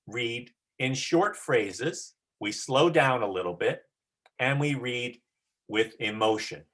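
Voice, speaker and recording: A drama teacher giving deliberate, emphatic instruction in short phrases during an online rehearsal. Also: mid-range voice, fairly bright tone. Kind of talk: instructional talk on a video call.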